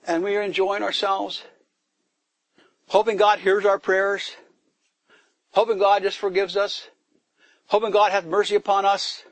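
A high-pitched man preaching a sermon, his voice climbing into forceful, emphatic bursts as he quizzes the congregation. The sound is close and dry, with almost no room around it. He pushes hard on key words. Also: little pitch movement, a little slow, long pauses, no echo. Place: church gathering in the woods.

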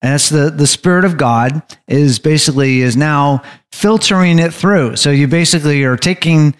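A man leading a bible study group, teaching in a halting, staccato way with stops and restarts. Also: on the high side, smooth.

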